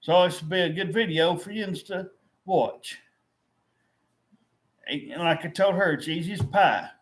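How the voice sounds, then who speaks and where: Man in middle age, fairly high voice, rambling frustrated vent to camera with lots of restarts. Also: unhurried. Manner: frustrated, repetitive, with many restarts. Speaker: vlogger.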